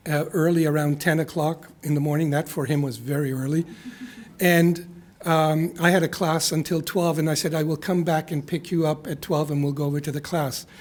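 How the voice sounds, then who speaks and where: A man with a somewhat high-pitched voice reads at a live poetry event. He speaks in a conversational, wry manner, moving easily between informal storytelling and verse. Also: darkish tone. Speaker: poet reading at a reunion event.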